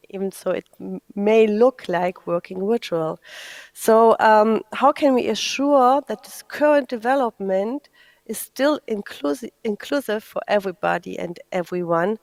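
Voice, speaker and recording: A woman acts as moderator of a panel discussion. She speaks slowly and haltingly, putting questions to the participants in a measured way. The recording is dry and close, with little room sound. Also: mid-range voice, creaky, darkish tone, dry recording.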